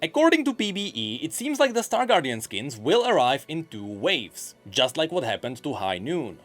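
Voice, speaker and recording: A man's voice: fairly high pitch, sing-song, moderate pace. A man hosting a scripted gaming news show, narrating with wry, dryly sarcastic asides. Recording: music behind the voice. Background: background music.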